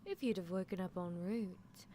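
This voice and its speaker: A woman's voice: lowish voice, moderate pace, warm tone, a little hiss or hum, lightly acted. A woman voicing an eccentric female mad scientist in an ASMR roleplay, gleefully menacing and doting, with a theatrical, musing, teasing delivery. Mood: musing, sly. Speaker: streamer performing a character roleplay. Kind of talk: live ASMR character roleplay, improvised replies to listeners.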